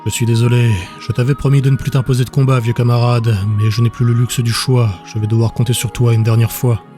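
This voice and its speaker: Male reader as weary determined husband, guilt-ridden, flat intonation, audiobook dialogue, music behind. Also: mid-range voice, fast, natural voice. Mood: sorrowful, resolute.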